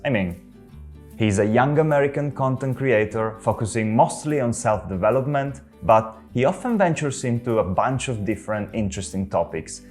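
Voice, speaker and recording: A man's voice: mid-range voice, a little mellow. A young man with an Italian accent, an Italian speaker and language teacher reacting to a video in casual, amused commentary. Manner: amused, chatty, laughs often.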